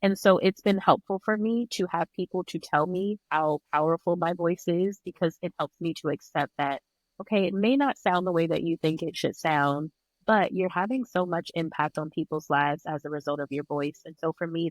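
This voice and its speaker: A woman's voice: low voice, dry recording, poor audio. Woman guest, earnest and reflective, slightly nasal and congested, dry close sound. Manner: reflective, earnest, with frequent "like" fillers. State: recovering from illness. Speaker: podcast guest, breathwork and yoga instructor. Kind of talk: conversational interview.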